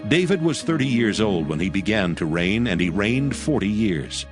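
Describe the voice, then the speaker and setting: A man narrating a dramatized scripture audiobook in a solemn, measured storytelling manner. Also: fairly low pitch, sing-song, over music.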